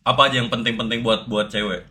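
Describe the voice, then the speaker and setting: A young male streamer who grew up in New York, chatting and teasing his guest in quick mixed Indonesian and English banter. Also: mid-range voice, fairly even intonation, bright, forceful tone, a little hiss or hum. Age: young adult. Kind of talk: casual stream chat, mixing Indonesian and English slang.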